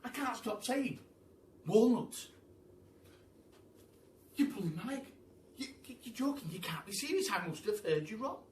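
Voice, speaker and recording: Man doing an excitable high-pitched monkey. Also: unhurried, pauses often, roomy sound, far from the mic, slight background noise. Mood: incredulous, excited. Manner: screechy, disbelieving, repetitive. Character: excitable, incredulous male monkey, babbling and repetitive.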